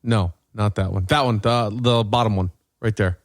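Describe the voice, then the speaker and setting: A man's voice: lowish voice, fairly even intonation, normal speed, dry recording. A man hosting a podcast, chatting and telling stories casually with a dry, joking delivery. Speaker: podcast host. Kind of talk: casual chat and storytelling.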